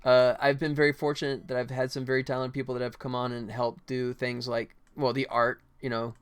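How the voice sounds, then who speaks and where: A man's voice: medium pitch, little pitch movement, a little fast, smooth. A man chatting casually as a livestream host and indie developer, rambling and thinking aloud while he works.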